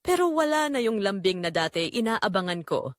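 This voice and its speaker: Woman acting a resolute Filipina mother, expressive delivery. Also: medium pitch, no room sound, studio quality, natural voice. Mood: calm, self-assured. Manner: reflective, earnest, measured confessional phrasing. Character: middle-aged Filipina wife and mother, quietly resolute, self-reclaiming. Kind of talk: scripted first-person audio drama narration.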